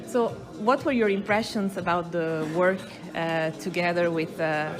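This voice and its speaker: A woman interviewer asking prompting, clarifying questions in a relaxed conversation. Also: on the low side, measured pace. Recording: music playing underneath.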